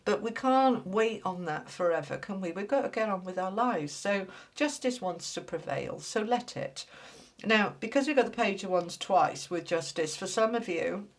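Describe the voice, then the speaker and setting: A woman's voice: mid-range voice, animated, normal speed. A woman with a cold, sounding slightly nasal and congested, giving a reassuring spoken tarot reading and often checking in with "all right?". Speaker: tarot reader.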